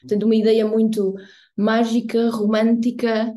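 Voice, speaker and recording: A middle-aged woman speaks in a mellow, warm tone. She answers interview questions reflectively, stopping now and then to search for the right words. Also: on the high side, little pitch movement, moderate pace. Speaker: guest photographer.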